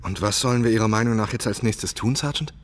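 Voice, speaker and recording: An actor playing an earnest, defensive young novelist in a radio drama. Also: male voice, lowish voice, quick pace, lightly acted. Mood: attentive.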